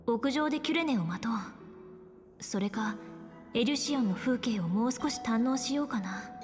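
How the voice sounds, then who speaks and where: An actress playing a calm, resolute young heroine in a measured, pensive anime-style game monologue. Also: female voice, on the high side, over music, natural voice. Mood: pensive, soft.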